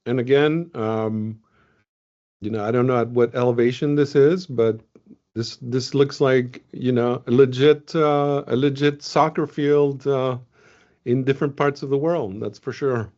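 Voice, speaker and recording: A man's voice: mid-range voice, fairly even intonation, unhurried, a little mellow. Guy from venezuela walking thru slides on a video call, lots of ums, kinda muffled audio. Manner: loose and chatty, many ums and restarts. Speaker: scientist presenting results. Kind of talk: casual webinar presentation.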